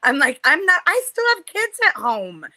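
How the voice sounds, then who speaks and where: A woman's voice: high-pitched, very animated, normal speed, sharp tone. A woman in her forties, chatting with family on a live stream, teasing and laughing often. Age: 40s. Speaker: guest, sister of the host. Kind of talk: casual family chat.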